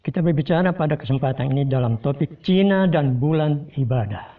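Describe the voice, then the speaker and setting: Man, fairly high voice, formal measured commentary, tinny lo-fi sound. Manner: measured, formal address to viewers. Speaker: host giving a commentary. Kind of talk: scripted spoken commentary. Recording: distant tinny phone-like sound.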